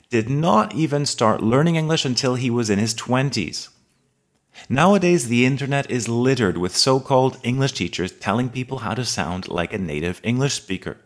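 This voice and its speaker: A man hosting a podcast for advanced English learners, reading a scripted episode in a measured, articulate way. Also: medium pitch. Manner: measured, articulate, precise delivery.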